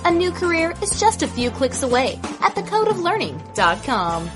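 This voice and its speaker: A woman reading a scripted radio commercial as a persuasive sales pitch. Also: wildly swooping pitch, normal speed. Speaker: ad announcer. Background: music bed.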